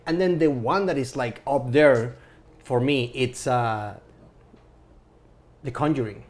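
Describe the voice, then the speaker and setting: A man's voice: medium pitch, takes it slowly. A man on a film podcast panel chatting casually, repeating and rephrasing himself for emphasis.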